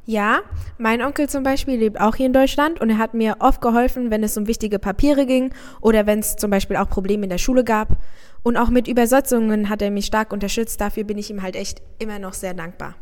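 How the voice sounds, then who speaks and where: A woman from Afghanistan, a mother, answering interview questions sincerely and in a measured way. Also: on the high side, steady tone, a little mellow, big-room sound.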